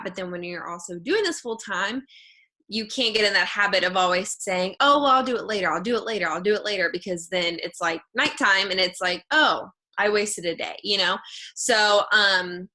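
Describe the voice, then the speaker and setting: Woman, early 20s, from Texas. Very bright tone at medium pitch, chatty and informal team leader talking to an online group. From Texas, United States. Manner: chatty, peppered with "like" and "y'all". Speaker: direct-sales team leader co-hosting a training.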